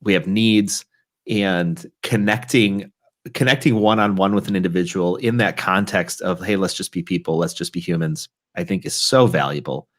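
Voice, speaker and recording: Deep-voiced guy hosting a live stream chat, rambly, restarts a lot. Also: moderate pace. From Chicago area. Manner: chatty, rambling, frequent restarts. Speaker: talk show host.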